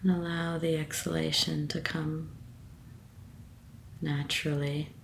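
A woman leading a guided meditation with a gentle, soothing manner and measured phrasing. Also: sits low, flat delivery, gravelly, a little hiss or hum.

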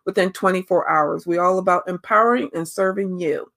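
A woman's voice: fairly low pitch, a little fast, vocal fry, fairly bright tone. A woman hosting a podcast, chatty and loose, laughing easily as she rambles through her intro. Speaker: podcast host.

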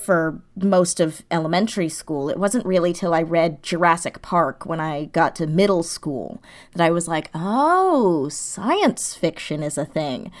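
Female interview guest, twenties, lowish pitch, casual and enthusiastic, informal phrasing. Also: animated, measured pace. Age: 20s. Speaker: interview guest, audio drama creator.

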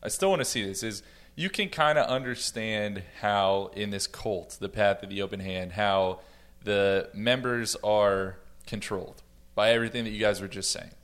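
A man's voice: lowish voice, moderate pace, a bit bright, clear, resonant tone. A man speaking casually as a panelist in a podcast roundtable.

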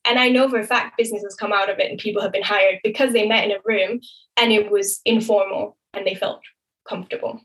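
A young woman who grew up in Thailand, talking casually as a podcast guest, often tagging her points with "right?" and quick laughs. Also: medium pitch, steady tone, fairly bright tone, rough recording. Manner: chatty, frequent "right?" tags and quick laughs. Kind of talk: casual interview talk.

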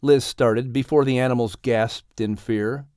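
A man narrating a children's audiobook story in an expressive, scripted storytelling style. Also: medium pitch, measured pace, smooth, no echo, clean studio sound.

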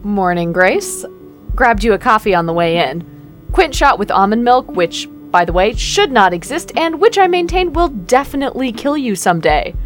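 A woman's voice: on the high side, expressive, moderate pace, soft background music, close to an everyday voice. A woman playing a capable break-in specialist in an audio drama, casual and practical with a teasing ease. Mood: breezy, teasing.

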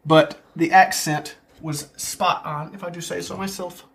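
A man's voice: a little high, steady tone, a little slow, vocal fry. A man co-hosting a casual podcast, cracking self-mocking jokes. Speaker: podcast co-host. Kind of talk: casual chat.

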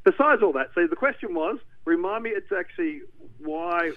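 Animated Australian man on a phone line. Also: sits high, normal speed. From Newcastle, Australia. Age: middle-aged. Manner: animated, rambling with restarts. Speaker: guest, documentary director. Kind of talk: interview.

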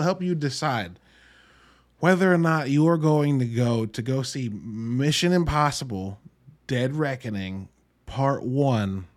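A man hosting a podcast, giving an informal, wry solo review in an emphatic, halting way with drawn-out words. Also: on the high side. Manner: informal, wry, mildly profane. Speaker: podcast host reviewing films. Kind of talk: casual solo review monologue.

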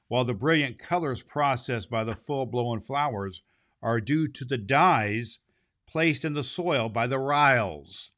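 A man reads a storybook aloud as its host. His delivery is expressive, in the way of a storyteller, with occasional small stumbles over the words. His pitch sits in an ordinary middle range for a man. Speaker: storybook reader and podcast host. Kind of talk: storybook narration read aloud.

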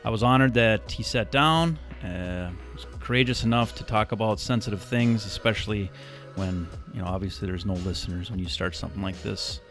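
A man's voice: on the low side, a little fast, hardly any pauses, a bit bright, faint music behind. A man hosting a podcast in a casual, earnest, conversational way. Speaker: podcast host.

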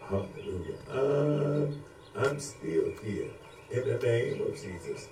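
A man's voice: medium pitch, expressive, slow pace, mellow. A man preaching and praying fervently off the cuff, with repetitive, chant-like invocations. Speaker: preacher leading prayer. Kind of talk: fervent extemporaneous prayer and exhortation. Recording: roomy echo with faint background noise.

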